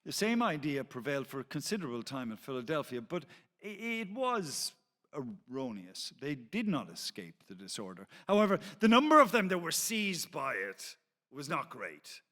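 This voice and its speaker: A man's voice: on the high side, big pitch swings, moderate pace, pushed and bright. A man reading as an authoritative, censorious 18th-century pamphleteer, in a formal, emphatic and occasionally stumbling dramatic delivery. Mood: measured, expository. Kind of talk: dramatic reading of a historical account. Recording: reverberant hall.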